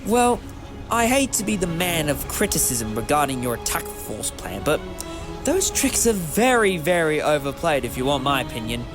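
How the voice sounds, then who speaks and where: A man's voice: a little high, sing-song, moderate pace, music in the background. A man playing an eccentric time-travelling hero in an audio drama, wry, modest and moralising, with a theatrical manner. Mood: candid, persuasive.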